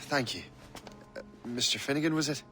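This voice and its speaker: A man's voice: mid-range voice, lively intonation, brisk, natural voice. Man acting a polite young sailor. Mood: humble. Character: young Irish sailor, polite but bold assassin. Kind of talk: video game character acting. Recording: loud music over the voice.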